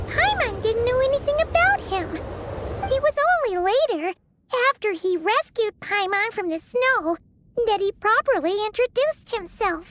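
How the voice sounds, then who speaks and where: Video game role: excitable little fairy sidekick girl, extremely high childlike pitch, slow delivery, clear music bed. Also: exaggerated voice. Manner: refers to self in third person, exclamatory.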